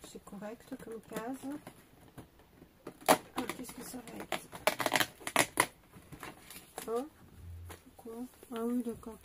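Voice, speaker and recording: A woman's voice: fairly high pitch, fairly even intonation, slow pace, very noisy. A young woman with a cold and a stuffy voice, chatting casually as she unboxes beauty products, hesitant and full of fillers and restarts. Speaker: beauty vlogger unboxing an advent calendar.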